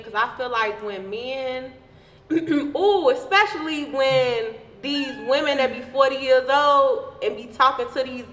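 A woman's voice: high voice, a bit bright. A woman co-hosting a casual podcast discussion, arguing her opinions emphatically. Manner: emphatic, argumentative. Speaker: podcast host. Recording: echoey room with background noise.

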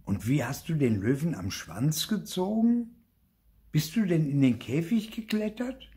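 Man playing an indignant little child, pitched up. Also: big pitch swings, measured pace, warm tone. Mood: sceptical. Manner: indignant, sceptical, persistent. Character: doubting, argumentative younger sibling, a small child.

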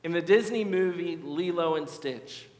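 A man's voice: fairly high pitch, a little mellow. Earnest male pastor in an echoey church. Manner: earnest, measured preaching with slow emphatic repetitions. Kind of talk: sermon. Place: large church sanctuary.

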